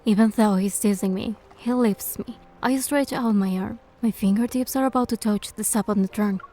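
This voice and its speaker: An actress playing a curious young woman who narrates her date in a game, gentle, measured and playful. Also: mid-range voice, faint music behind, lightly acted. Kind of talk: scripted first-person game narration and dialogue.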